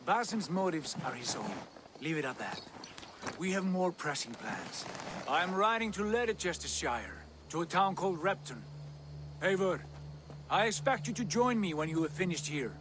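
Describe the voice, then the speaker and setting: An actor playing a proud, guarded Viking jarl who speaks with firm, curt authority in a dramatic game cutscene. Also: male voice, high voice, sing-song, normal speed, background noise. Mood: commanding, guarded.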